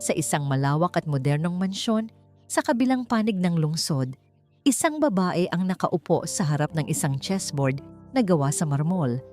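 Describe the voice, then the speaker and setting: A woman's voice: on the low side, big pitch swings, moderate pace, a little mellow, faint music behind. A woman with a Filipino accent reading a scripted, dramatic story as its narrator.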